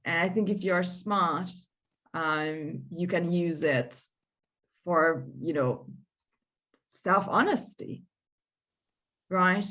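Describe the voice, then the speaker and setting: Co-host in her 30s, somewhat low voice, discursive, video-call chat. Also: drawn-out words, long pauses, a bit bright. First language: Hungarian. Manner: discursive, thinks aloud with frequent restarts. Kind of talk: casual panel discussion.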